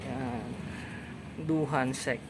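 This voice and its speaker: A man's voice: mid-range voice, steady tone, extremely slow. A man with a Filipino accent gives a casual shop tour as a vlogger, often echoing what he is told. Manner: repeats and echoes what he is told. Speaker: motorcycle vlogger and host. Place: motorcycle accessory shop. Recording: noisy location sound with traffic ambience.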